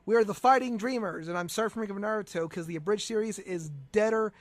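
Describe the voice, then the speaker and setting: High-voiced young man hosting radio, dry sound. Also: fast talker, dry recording. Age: young adult. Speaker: radio show host, interviewer. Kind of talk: chatty college radio interviewing.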